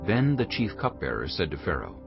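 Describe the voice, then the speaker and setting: A man giving a solemn, measured and dramatic narration of scripture, formal in delivery. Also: low-pitched, huge pitch swings, creaky, lo-fi sound, music in the background.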